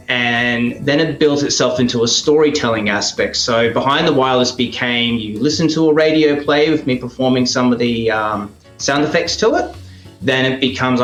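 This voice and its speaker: A man chatting casually as an interview guest, often pausing mid-thought as he explains. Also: mid-range voice, a bit bright, highs cut off, faint music behind. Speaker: interview guest, radio play performer. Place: indoors at a heritage house. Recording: roomy echoing sound.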